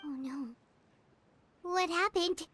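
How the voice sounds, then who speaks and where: Very high, squeaky childlike little companion. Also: female voice, takes it slowly, pushed and bright, slight background noise, exaggerated voice. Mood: concerned. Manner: earnest, inquisitive. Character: tiny childlike companion creature, curious and concerned. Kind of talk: cartoonish video game dialogue. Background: music behind the voice.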